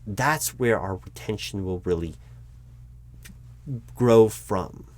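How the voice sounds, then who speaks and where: A man playing a frank, exasperated corporate recruiting manager in a one-sided audio roleplay, explaining things haltingly and correcting himself as he goes. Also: fairly low pitch, darkish tone. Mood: earnest, exasperated.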